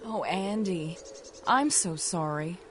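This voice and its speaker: A woman's voice: lowish voice, animated, takes it slowly, slight background noise. A woman playing a tender, earnest young sweetheart in a 1930s-style radio drama. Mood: regretful, tender.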